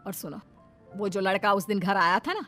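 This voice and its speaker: A woman's voice: mid-range voice, moderate pace, pushed and bright, close to an everyday voice. A woman voicing a middle-aged Indian storybook mother-in-law, speaking in an emphatic, instructive way. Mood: motherly, earnest. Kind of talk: storybook character dialogue. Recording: background music under the voice.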